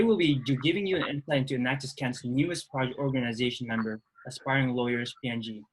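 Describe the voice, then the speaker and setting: A young man from Indonesia, a law student, hosting and introducing a webinar in a halting way with restarts. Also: medium pitch, a bit bright, breathy edge, poor audio. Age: young adult. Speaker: webinar host, student society president.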